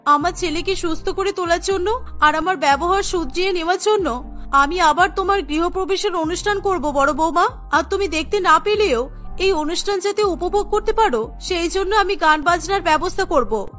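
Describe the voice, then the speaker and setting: A stern older mother-in-law from a cartoon, played by a woman, pitched very high and very bright. She is suspicious and scolding, and music plays heavily behind her words. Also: moderate pace. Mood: grateful. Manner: accusatory, scolding. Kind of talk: Bengali cartoon voice acting.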